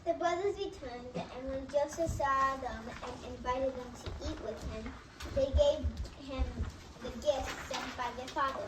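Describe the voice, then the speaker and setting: A woman's voice: high voice, measured pace. A woman narrating a scripted amateur readers' theatre play. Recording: distant echoey room, noisy.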